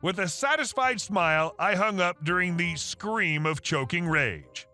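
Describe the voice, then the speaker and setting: A man reading a first-person story aloud as scripted narration, with a steady read-aloud delivery. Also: sits high, a little slow. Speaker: narrator of online stories. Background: faint background music.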